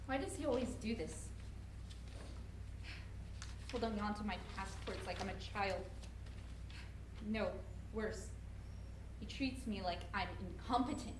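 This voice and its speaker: A woman's voice: mid-range voice, measured pace, pauses often, close to an everyday voice. A woman acting an anxious young mother fleeing a controlling partner, in a staged dramatic reading. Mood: angry, defiant. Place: live presentation in an echoey room. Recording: roomy, noisy live stage recording.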